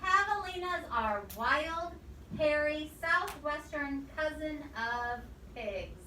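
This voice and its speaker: A woman with a high voice reads a picture book to young children in an expressive teacherly way, stopping to quiz them. She is some distance from the microphone, and there is clear noise behind her. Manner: expressive, pausing to quiz the listeners. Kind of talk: storybook read-aloud with questions to children. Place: indoor room with children present. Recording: echoey, distant microphone, noisy room.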